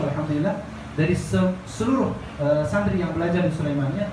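A young Indonesian man giving an earnest religious talk to a seated gathering, repeating phrases for emphasis. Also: fairly high pitch. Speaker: Islamic boarding school teacher. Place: crowded indoor room, seated gathering. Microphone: handheld microphone through speakers, filmed from across room. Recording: echoey noisy room, far from mic.

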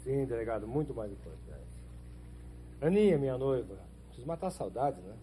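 An actor plays a courteous, self-assured Brazilian hero in his thirties. He talks quickly in old telenovela style, on a soundtrack with faint hum. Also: mid-range voice, sing-song, warm tone, close to an everyday voice. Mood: genial. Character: confident, courteous young backlands hero, man. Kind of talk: 1970s telenovela acting.

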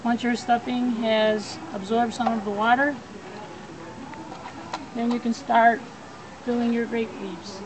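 A woman's voice: a little high, fairly even intonation, slow, long pauses, music in the background, poor audio. A woman giving plain step-by-step cooking instructions in a backyard. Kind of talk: cooking demonstration. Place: backyard outdoors. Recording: outdoor background noise.

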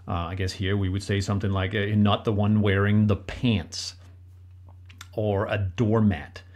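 A low, husky male voice with a Danish accent. He is a middle-aged relationship coach speaking to listeners in an earnest, reflective way and giving plain advice. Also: a little mellow. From Denmark. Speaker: podcast co-host, relationship coach. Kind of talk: solo advice talk.

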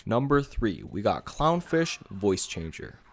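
A man presenting a scripted software review as a voice-over, speaking casually and directly to viewers. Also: on the low side, big pitch swings, unhurried, creaky. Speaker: video creator and presenter.

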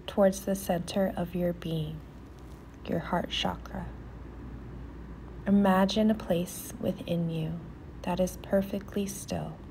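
A woman leading a guided meditation in a soft, soothing, often near-whispered voice with pauses. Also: lowish voice, creaky, slight background noise.

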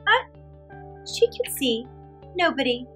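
A middle-aged woman reading a story aloud to young children in a theatrical, expressive way with drawn-out words. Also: high-pitched, slightly rough, soft background music.

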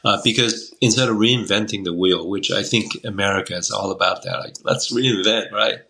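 A man in his sixties from Taiwan speaks over a video call from a room at home. His voice sits low yet sounds bright and forceful. He rambles easily, circling back with fillers and restarts. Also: moderate pace, poor audio. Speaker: guest, Chinese medicine doctor. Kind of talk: casual interview talk. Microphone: video call webcam.

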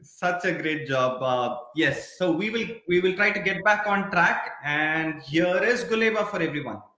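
High-voiced man hosting, echoey room. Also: animated, a little slow, sharp tone. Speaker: co-host of a virtual dance recital. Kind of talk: casual chat, presenting. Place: indoor room, video call. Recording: echoey room on video call.

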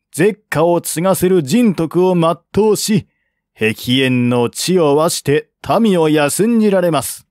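A man's voice: on the high side, darkish tone, no echo, clean studio sound. A man voicing a humble, earnest envoy in formal courtly speech for a historical audiobook. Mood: reasoning, persuasive. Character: deferential male envoy, courtly and earnestly persuasive. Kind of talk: audiobook reading of historical novel dialogue.

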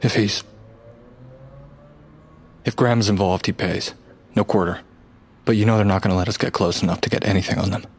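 A man's voice: fairly low pitch, steady tone, fairly quick, pauses often, husky. An actor playing a weary, skeptical man with a curt, sardonic way of talking in an audio drama. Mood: wary. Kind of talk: naturalistic audio drama acting.

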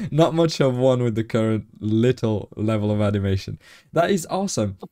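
A man from Morocco hosting a casual podcast interview. His voice is mellow and dark, and he sounds eager and quick to laugh, cheering on his guest. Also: medium pitch, animated. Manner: enthusiastic, laughs often. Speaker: podcast host.

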